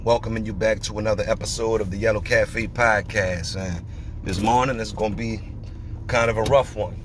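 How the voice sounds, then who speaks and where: A man's voice: fairly low pitch, fairly even intonation, moderate pace, a little hiss or hum. Preachy, emphatic male podcast host. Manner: emphatic, preachy, fires rhetorical questions.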